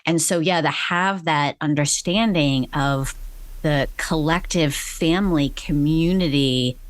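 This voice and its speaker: A woman's voice: sits low, slow pace, no room sound. A woman hosting a podcast interview, asking questions in an encouraging, affirming manner. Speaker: podcast host. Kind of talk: conversational interview.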